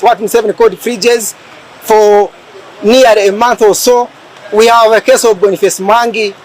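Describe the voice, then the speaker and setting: A man delivers a measured statement in a high voice, pausing often on hesitant fillers. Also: low-quality recording. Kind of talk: street statement to camera.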